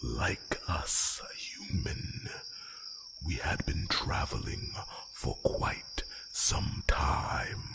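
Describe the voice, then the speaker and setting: A man playing a grave, slightly grumpy old dragon in a fantasy roleplay audio drama, speaking slowly and solemnly in a breathy rasp. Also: rumbling low, exaggerated voice. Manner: slow, halting, solemn delivery. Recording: loud noise bed of night crickets.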